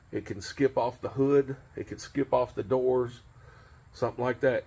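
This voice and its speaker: A man in his forties with a husky, mid-pitched voice explains things as the host of a hands-on tips video. He is informal and plain-spoken, repeating his key points, and talks to a camera a step or two away outdoors in a driveway. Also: a little mellow. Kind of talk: informal instructional demonstration to camera. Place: outdoors in a home driveway beside a pickup truck.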